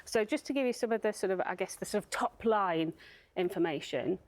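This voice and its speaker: A woman's voice: medium pitch, a little fast, dry recording. A woman speaking as a charity spokesperson, explaining things in a broadcast interview. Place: TV studio.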